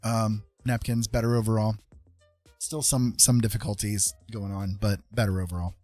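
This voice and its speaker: A man's voice: lowish voice, little pitch movement, moderate pace, warm tone, no echo, soft background music. A man hosting a casual live art stream, chatting to viewers in a rambling, hesitant way and often repeating himself. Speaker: live stream host and artist.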